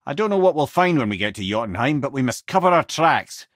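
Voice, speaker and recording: A man's voice: mid-range voice, very animated, fast talker, fairly bright tone, no room sound, studio quality. A man voicing a wise, witty old talking head in a video game, with a Scottish accent and an eloquent, wry storytelling manner. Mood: earnest. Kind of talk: game character dialogue and storytelling.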